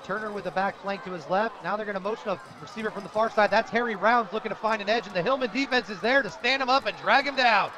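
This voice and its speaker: A man calling live football play-by-play on the radio in an energetic, excitable way. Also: high voice, quick pace, hardly any pauses. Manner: energetic, rises to shouts on big plays. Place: outdoor high school football stadium broadcast position. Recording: loud crowd and noisy outdoor stadium. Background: crowd noise.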